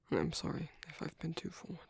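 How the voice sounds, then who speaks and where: Gentle, bashful British male vampire priest, played by a man, ASMR roleplay. Husky near-whisper, courteous and hesitant, very close and dry. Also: a little high, dry recording, exaggerated voice. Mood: bashful, caring. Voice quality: soft, breathy, husky, often near-whispered. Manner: hesitant, courteous, formal phrasing. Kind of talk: ASMR roleplay, intimate soft-spoken monologue.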